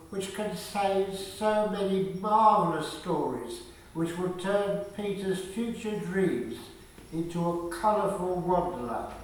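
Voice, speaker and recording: A man narrating a scripted radio play in a measured, deliberate storytelling manner. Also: sits high, a little hiss or hum. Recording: very echoey, distant from the mic.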